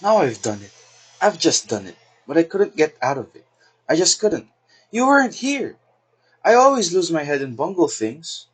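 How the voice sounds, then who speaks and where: A man's voice: on the high side, big pitch swings, normal speed, slightly rough. A man playing the anxious, well-meaning daughter of a society hostess in a stage comedy, earnest and pleading. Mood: flustered, defensive. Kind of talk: theatrical stage comedy acting.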